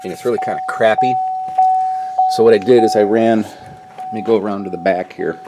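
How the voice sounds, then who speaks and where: A man's voice: fairly low pitch, a little fast. A middle-aged man giving a casual hands-on walkthrough in a folksy, colloquial way, recorded on a handheld camera. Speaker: DIY vlogger. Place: in and around a pickup truck at a garage. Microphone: handheld camera mic. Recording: noisy handheld camcorder audio.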